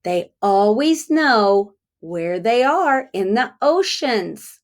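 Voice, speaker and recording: Slow, highly animated woman reading aloud. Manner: deliberate, child-directed, pausing to ask questions. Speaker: kindergarten teacher reading aloud to children. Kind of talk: interactive picture-book read-aloud with questions.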